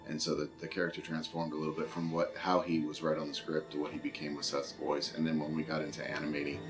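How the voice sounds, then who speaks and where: A man's voice: extremely low pitch, completely flat delivery, fast, over music. A man speaking in a behind-the-scenes film interview about his work. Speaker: film animation crew interviewee.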